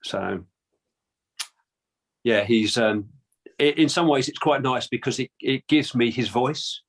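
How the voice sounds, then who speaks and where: A man's voice: mid-range voice, slow pace. A man with a London accent answering interview questions casually, hesitant with many restarts and fillers. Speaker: guest, descendant of a Titanic crewman.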